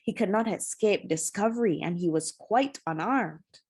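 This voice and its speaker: Woman reading a kids adventure book out loud, mid pitched voice, dramatic storyteller style. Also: normal speed, husky. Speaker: storybook reader. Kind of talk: scripted narration, children's adventure novel read aloud.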